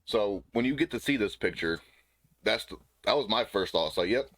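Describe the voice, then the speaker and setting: A man co-hosts a casual podcast and jokes around, laughing easily. His voice sits very low. The recording is dry, with no room sound. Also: lively intonation, normal speed, a bit bright, no room sound. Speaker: podcast co-host.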